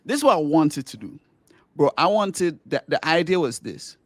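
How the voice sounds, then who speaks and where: Middle-aged man, light squeaky voice, rambling eager talk, dry close recording. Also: sing-song, normal speed, no echo. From Grenada. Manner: enthusiastic, rambling, many restarts. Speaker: podcast host and musician. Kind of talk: casual podcast interview.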